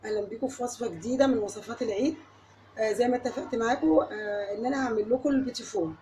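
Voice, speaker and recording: Fast-talking Egyptian woman with a tired voice. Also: a little high, warm tone, a little hiss or hum. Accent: Egyptian Arabic. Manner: chatty, addresses viewer directly, step-by-step. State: tired voice from a cold. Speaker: home cook. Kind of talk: cooking tutorial. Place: home kitchen.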